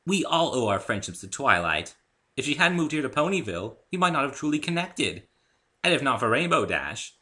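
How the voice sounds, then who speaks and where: A refined, good-natured lady unicorn from a cartoon story, acted out by a man. The voice is lifted and bright. She speaks with elegant, theatrical affection. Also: mid-range voice, very animated, fairly quick, voice pushed up. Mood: sincere. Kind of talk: dramatic story reading.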